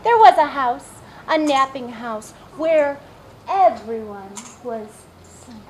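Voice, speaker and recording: A woman's voice: sits high, slow pace. A woman hosting story time, reading and chatting expressively to small children. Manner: expressive, hushed emphasis, addressing small children. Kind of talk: children's read-aloud and chat. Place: public library story-time room. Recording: noisy room recording.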